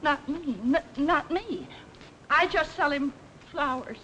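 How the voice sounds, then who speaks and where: An actress playing a startled, folksy flower seller who stammers in a 1940s film. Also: female voice, high-pitched, slow, bright, forceful tone, heavily acted. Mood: startled, fearful. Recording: old hissy 1940s film soundtrack.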